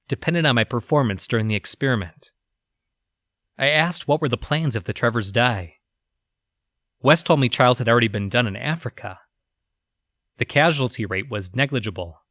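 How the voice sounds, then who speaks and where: A man playing a troubled, guilt-ridden researcher narrating his journal in an audio drama, somber, confessional and halting. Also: mid-range voice, bright, forceful tone, no echo, clean studio sound. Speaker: voice actor.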